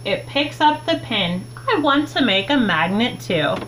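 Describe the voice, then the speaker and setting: A young adult woman reads a children's book aloud with expressive, deliberate phrasing, recorded in a small room at home on a webcam mic. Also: a little high. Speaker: teacher reading a children's book. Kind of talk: read-aloud storytime narration. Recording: clearly noisy, humming home recording.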